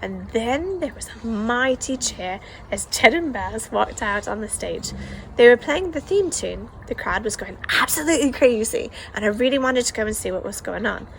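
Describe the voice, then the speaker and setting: Gravelly woman reading a story aloud. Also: fairly high pitch, normal speed, a little hiss or hum, poor audio. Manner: expressive first-person storytelling. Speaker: audiobook reader. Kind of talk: reading a children's novel aloud.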